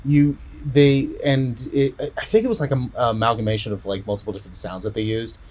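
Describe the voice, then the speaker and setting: A man in casual podcast chat, retelling a story in a rambling, halting way and often repeating words. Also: mid-range voice, a little mellow. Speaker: podcast co-host.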